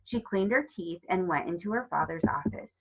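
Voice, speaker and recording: A woman reading a children's novel aloud as scripted narration, in an expressive storytelling manner. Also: on the low side, normal speed, husky, dull audio. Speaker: storybook reader.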